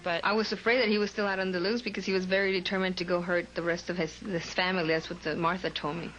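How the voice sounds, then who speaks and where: A woman's voice: on the low side, little pitch movement, fast, fairly bright tone. An American woman, a dispatcher, recounting a call in a documentary interview. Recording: noisy interview audio.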